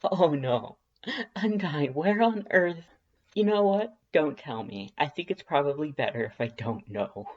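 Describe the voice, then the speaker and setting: A man's voice: on the high side, expressive, unhurried, darkish tone, highs cut off, voice pushed up. A man playing an earnest, friendly young human with hesitant, emotional delivery in a fan fiction audiobook. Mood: amused, bright.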